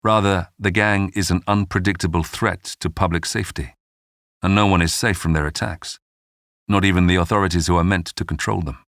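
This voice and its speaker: A man narrating a true-crime history documentary in a scripted, dramatic storytelling style with measured delivery. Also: sits low, fairly even intonation, a bit bright, dry recording, studio quality.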